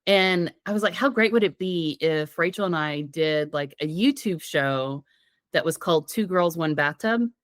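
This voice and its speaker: A woman co-hosting a casual comedy podcast, with a slightly raspy voice from a sinus infection, rambling and giggling as she tells stories. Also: on the low side, normal speed, no echo, clean studio sound. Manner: giggly, rambling, playful storytelling. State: getting over a sinus infection. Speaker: podcast co-host.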